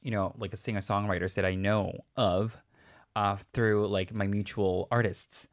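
A woman's voice: very deep voice, level tone. A woman in casual studio chat, telling a story with many fillers and restarts. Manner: rambling, halting, many "like" fillers.

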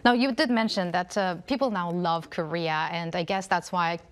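A woman hosting an interview, attentive, giving soft backchannels between questions. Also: fairly low pitch, normal speed. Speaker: interview host. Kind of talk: interview conversation.

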